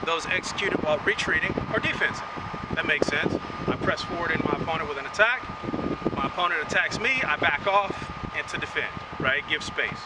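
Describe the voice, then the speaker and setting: A man's voice: a little high, expressive, brisk. A man teaching karate outdoors in a chatty way, tagging "right?" onto his points, recorded from a distance with wind and traffic around. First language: English. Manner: chatty, keeps adding "right?". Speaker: karate instructor. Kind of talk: outdoor martial arts lesson. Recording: windy outdoor audio, distant mic. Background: wind and passing vehicles.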